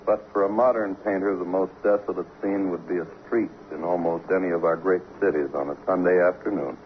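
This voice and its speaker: An actor playing a distracted, tender yet curt husband accused of killing, in an old-time radio drama. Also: male voice, sits low, steady tone, fairly quick, natural voice. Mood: somber, reciting. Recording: noisy, dull vintage radio recording.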